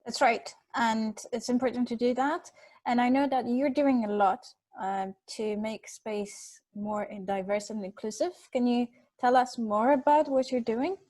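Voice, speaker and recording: A woman's voice: a little high, steady tone, a little slow, darkish tone. A woman hosting an interview, commenting and putting questions to her guest in a conversational style. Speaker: interviewer and show host.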